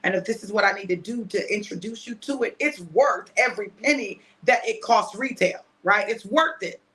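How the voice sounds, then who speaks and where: A woman in her fifties, chatty and repetitive, mixing a prophetic word with a casual sales pitch and often asking "right?". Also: normal speed, fairly bright tone. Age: 50s. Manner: chatty, repeats phrases, asks "right?". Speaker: preacher selling a product.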